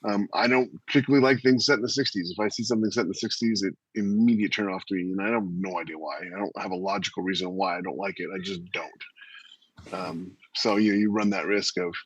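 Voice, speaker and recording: A man's voice: deep voice, fast talker, breathy edge, no room sound, low-quality recording. A man co-hosting a podcast in casual, conversational panel discussion. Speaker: podcast co-host and indie author.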